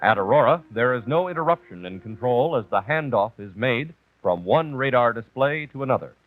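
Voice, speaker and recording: A man's voice: medium pitch, lively intonation, normal speed. An American man reading scripted documentary narration on an old, muffled 1960s television soundtrack. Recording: muffled 1964 TV kinescope, narrow band.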